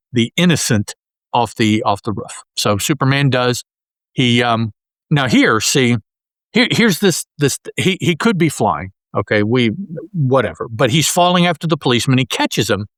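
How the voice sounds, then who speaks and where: A man's voice: medium pitch, a bit bright, no room sound. A man co-hosting a podcast, describing comic panels in a casual, rambling way with many restarts. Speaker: podcast co-host. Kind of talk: casual comic-book discussion.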